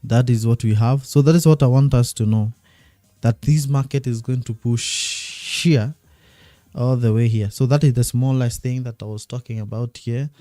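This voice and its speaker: A man streaming live trading commentary, thinking aloud and repeating himself while he watches the charts, his voice going a little hoarse. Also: mid-range voice, steady tone, normal speed, warm tone, no room sound, studio quality, soft background music. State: losing his voice.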